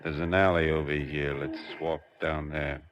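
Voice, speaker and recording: Deep-voiced, scheming radio crook. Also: male voice, flat delivery, moderate pace, a little hiss or hum. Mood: sly, instructing. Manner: terse, conspiratorial. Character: tough, scheming male crook, curt and menacing. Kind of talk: old-time radio crime drama acting. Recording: muffled old radio recording.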